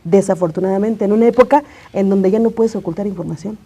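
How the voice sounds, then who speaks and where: A Mexican woman speaks as a journalist guest in a studio. Her voice is very dark and soft-edged, with a husky grain and a middling pitch. She comments in a conversational way. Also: fast talker.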